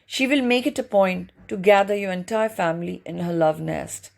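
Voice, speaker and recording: Woman, audiobook narrator, scripted reading, medium pitch, noticeable vocal fry. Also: moderate pace, a little mellow. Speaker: narrator, reading a book chapter.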